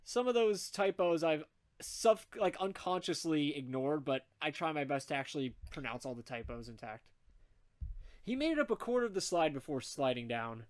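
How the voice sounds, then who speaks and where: A man narrating fan fiction in an amateur comedic group reading, animated and dropping wry asides. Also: a little high, normal speed.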